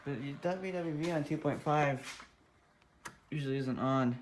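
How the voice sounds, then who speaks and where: Male hobbyist, off-the-cuff and puzzled, muttering through a demonstration in a room with clear radio noise. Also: a little high, measured pace. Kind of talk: casual, unscripted demonstration commentary. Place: small indoor room at a workbench.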